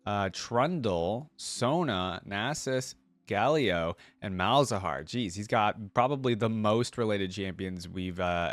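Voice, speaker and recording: A man's voice: on the low side, dry recording. A man hosting a reaction video, chatting informally and reading lore aloud with commentary.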